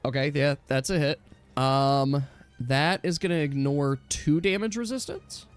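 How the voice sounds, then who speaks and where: Higher-voiced man running a tabletop game. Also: a little slow, smooth, faint music behind. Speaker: game master. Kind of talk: casual running of a tabletop role-playing game.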